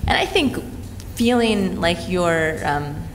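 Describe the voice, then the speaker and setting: A man's voice: high-pitched, lively intonation, slow, a bit bright. A man on a conference panel, speaking in a thoughtful, meandering way, with many fillers. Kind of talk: panel discussion. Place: hotel conference room. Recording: long hall reverb, faint noise.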